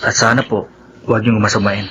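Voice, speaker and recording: A man's voice: fairly low pitch, level tone, a little fast, close to an everyday voice. A man acting a polite, probing young stepson in a Filipino radio drama, respectful and questioning. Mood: polite, curious. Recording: dull lo-fi audio, faint noise.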